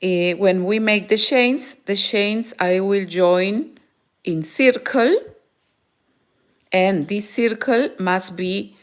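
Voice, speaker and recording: Woman, Peruvian Spanish accent, native Spanish speaker, crochet teacher, live tutorial, slow unhurried pace, halting speech with word-searching pauses. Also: medium pitch. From Peru. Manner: halting, searching for words, frequent "eh" fillers.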